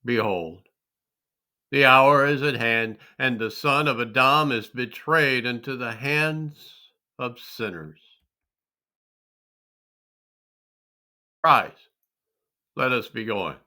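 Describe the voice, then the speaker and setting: A man's voice: mid-range voice, takes it slowly, bright, forceful tone. A man voicing a grave, tender and sorrowful holy teacher in a dramatic scripture reading, solemn and drawn out. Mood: weary resolve.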